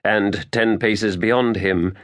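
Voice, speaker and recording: A man reading an epic fantasy audiobook in a measured, solemn and dramatic manner. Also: fairly low pitch, steady tone, a bit bright. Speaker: audiobook narrator. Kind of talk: scripted narration of epic fantasy.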